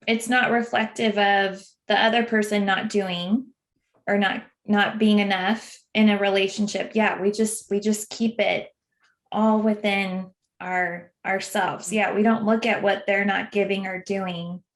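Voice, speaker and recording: A woman hosting a podcast in a measured, affirming way, summing up her guest's ideas and asking follow-up questions. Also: mid-range voice, steady tone. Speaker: podcast host. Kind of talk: casual podcast conversation.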